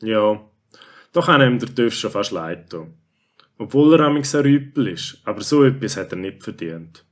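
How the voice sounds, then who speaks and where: A man's voice: fairly low pitch, animated, moderate pace, lightly acted. A man with a Swiss accent playing the narrator of a children's story, telling it in a scripted storytelling style.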